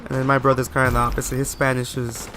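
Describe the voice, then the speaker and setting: A man's voice: mid-range voice, monotone, fast talker, pushed and bright, a little hiss or hum, rough recording. A man giving post-recorded commentary over gameplay, chatty and rambling, often asking viewers questions. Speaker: let's play host.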